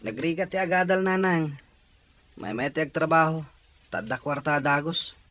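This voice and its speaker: A man's voice: a little high, normal speed, fairly bright tone, lightly acted. A man playing a hardworking, stubborn young farm laborer with a Filipino accent in an audio drama. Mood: defensive. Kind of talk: Ilocano audio drama acting.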